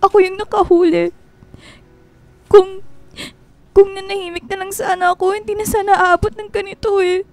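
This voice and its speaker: A woman's voice: very high voice, level tone, moderate pace, pauses often, a little mellow, dry recording. A woman playing a young, vulnerable woman confiding in her friend in a Filipino radio drama. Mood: tearful, hurt.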